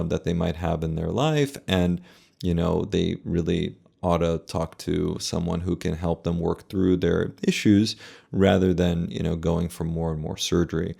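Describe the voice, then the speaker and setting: Male medical commentator, low and heavily creaky, measured and explanatory, casual delivery. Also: a little mellow. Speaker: plastic surgeon reacting to a video.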